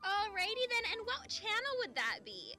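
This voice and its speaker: A woman's voice: very high-pitched, sing-song, normal speed, pushed and bright, faint music behind, exaggerated voice. A woman playing an overly polite tech support agent in a comedy sketch, with sing-song customer-service manners. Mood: helpful.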